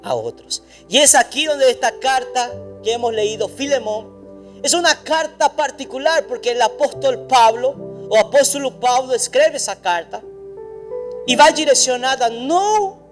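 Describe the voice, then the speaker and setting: A man's voice: extremely high pitch, lively intonation, measured pace, soft background music. A pastor preaching a sermon in a church, emphatic and repetitive, speaking straight to his congregation. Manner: addresses the congregation directly.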